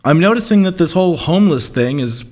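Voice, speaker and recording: A man's voice: a little high, lively intonation, fast, a little mellow, close to an everyday voice. A man playing a sarcastic, scheming know-it-all coworker in an audio comedy sketch, condescending and mocking. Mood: scheming, patient.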